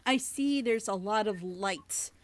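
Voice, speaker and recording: A woman chatting casually on a reaction panel, giving feedback in an animated, rambling, gushing way. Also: medium pitch, takes it slowly. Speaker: reviewer and script editor on a reaction panel.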